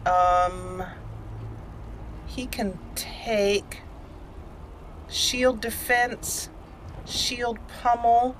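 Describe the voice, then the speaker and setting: A woman's voice: fairly high pitch, very slow, long pauses, slight background noise. A woman giving casual let's play commentary, chatty and thinking aloud as she plays. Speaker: gamer narrating a video game roleplay playthrough. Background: game music behind the voice.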